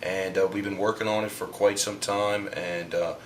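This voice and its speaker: A man with a deep voice gives interview answers for a documentary. His delivery is halting yet emphatic, and faint noise sits behind him. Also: steady tone, a bit bright. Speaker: comic book artist.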